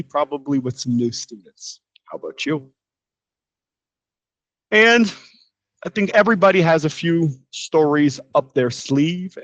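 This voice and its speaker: A man's voice: on the high side, no room sound, dull audio. A man who grew up bilingual in Portuguese and English, teaching a chatty English lesson and asking listeners questions. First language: bilingual, grew up speaking Portuguese and English. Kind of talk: informal English lesson monologue.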